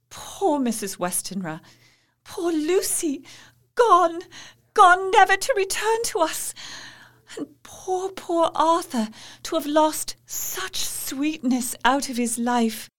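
Casting: tender, anxious young English wife, fairly high voice, earnest diary narration for radio drama. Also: female voice, animated, slow. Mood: grief-stricken.

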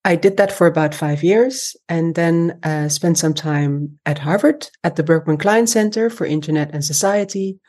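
Woman in her 40s, podcast guest, low voice, measured and pausing as she explains stuff. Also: darkish tone. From Amsterdam, Netherlands. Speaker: podcast guest, human rights lawyer. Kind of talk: conversational personal account in an interview.

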